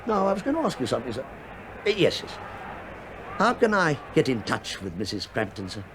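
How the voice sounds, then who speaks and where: An actor playing a chatty, falsely bumbling middle-aged English police inspector who needles politely in a British radio drama. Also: male voice, medium pitch, wildly swooping pitch, brisk, background noise. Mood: sly, probing. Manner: rambling, deferential, needling small talk.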